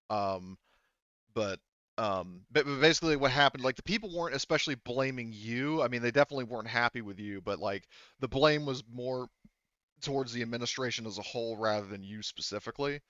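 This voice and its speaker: A man casually running a tabletop game as game master, talking in a halting way and often rephrasing as he explains. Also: mid-range voice, a bit bright, clear, resonant tone, no room sound, dull audio.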